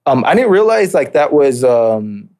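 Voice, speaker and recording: A young man from Mexico speaking at an ordinary middle pitch, recalling his childhood as a guest on a casual podcast. He talks in a relaxed, unhurried way, often pausing, restarting sentences and filling gaps with small hesitations. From Mexico, raised in southern California and Utah. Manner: hesitant, many fillers and restarts, laid-back. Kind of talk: casual interview storytelling.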